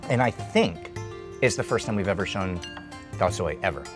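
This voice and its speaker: A man in his thirties with a lowish voice hosts a food show, eating at an open-air stall and chatting eagerly about each dish. He wears a lapel mic, and soft music sits faintly under his talk. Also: expressive, moderate pace. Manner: chatty, enthusiastic, explains dishes while eating. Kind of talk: casual food chat and explainer.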